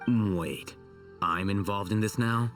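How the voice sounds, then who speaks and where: A man voicing an aloof, dry-witted scholar in a video game, speaking in a deadpan, measured, formal way. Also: on the low side, distant mic, faint music behind. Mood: wry, unbothered. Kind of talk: scripted video game voice acting.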